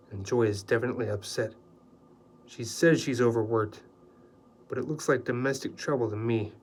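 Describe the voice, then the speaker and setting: Man doing wry journalist, low voice. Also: close to an everyday voice.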